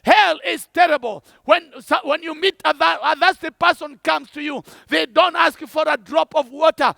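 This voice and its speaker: A man shouts his sermon in a very high, gravelly voice. The tone is bright and forceful, the sound dry and close. He preaches fervently and hammers each point home through repetition. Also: moderate pace, no echo.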